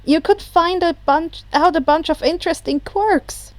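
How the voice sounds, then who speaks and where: A woman's voice: very high-pitched, normal speed, no room sound, pitched above the performer's own voice. A woman voicing a cheeky, bubbly teenage boy hero who talks in an eager, informal, persuasive way. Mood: earnest, persuasive.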